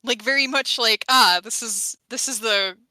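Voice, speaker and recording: A woman's voice: on the high side, normal speed, sharp tone, dry recording. A woman playing a tabletop game, chatting casually with the other players.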